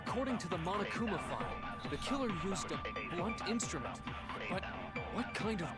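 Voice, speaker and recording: A man's voice: sits high, very animated, fairly quick, loud music behind the voice. A man voicing an earnest young male student in an anime video game, declaiming and questioning as he argues a case.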